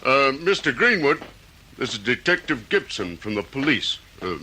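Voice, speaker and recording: A man's voice: medium pitch, sing-song, normal speed. A man playing a practical, plain-spoken fire officer in an old radio drama. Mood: matter-of-fact. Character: practical, commanding male district fire officer. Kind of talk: 1940s radio drama acting. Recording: vintage radio, loud sound-effect noise behind.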